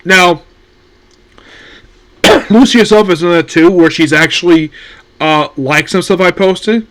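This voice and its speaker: Rambling man with a higher voice. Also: a little slow, fairly bright tone. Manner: rambling, many fillers and restarts. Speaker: anime news host. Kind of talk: casual solo commentary.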